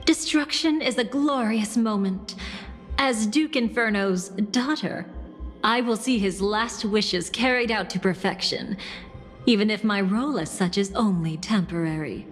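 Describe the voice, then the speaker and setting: A woman playing a seductive, mocking villainess in a video game, a capricious traitor who relishes destruction and speaks in teasing, theatrical, drawn-out phrases. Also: medium pitch, close to an everyday voice. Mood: sinister resolve. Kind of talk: dramatic video game voice acting. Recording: long reverb, game music behind.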